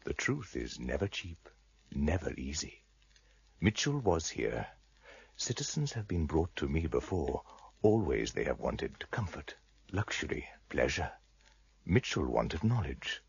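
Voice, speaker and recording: An actor playing a shrewd, courtly blind trader in a radio drama, husky and gravelly, speaking in a measured, formal, wry way. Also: male voice, a bass voice, big pitch swings, no room sound, dull audio, exaggerated voice. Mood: grave, knowing.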